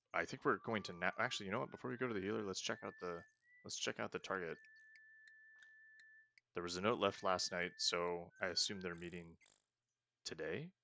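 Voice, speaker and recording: A man's voice: sits low, gravelly, sharp tone, slight background noise. A man casually commenting while he plays a video game, thinking aloud and hedging often. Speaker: let's play host.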